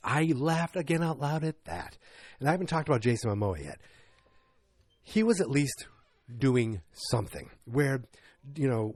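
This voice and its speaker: Husky, rambling podcast host. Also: male voice, medium pitch, a little mellow, dry recording. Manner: rambling, sarcastic, self-interrupting. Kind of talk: casual solo movie review.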